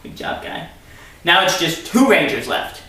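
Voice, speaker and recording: Bright-voiced sarcastic man in an echoey room. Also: sits high, very animated, unhurried. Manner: sarcastic, theatrical. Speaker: storyteller and commentator. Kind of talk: dramatic story reading with mocking asides. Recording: very echoey room, distant mic.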